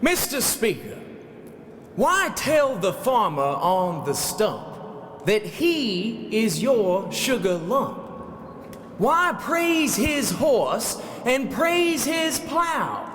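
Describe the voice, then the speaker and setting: An actor playing a frustrated, grandiose old-time legislator declaiming an emphatic rhyming floor speech. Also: male voice, very high-pitched, very animated, drawn-out words, fairly bright tone, heavily acted. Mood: impassioned, frustrated. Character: impassioned, frustrated 1909 legislator orating in rhyme. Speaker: actor in historical re-enactment. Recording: very echoey hall, background noise.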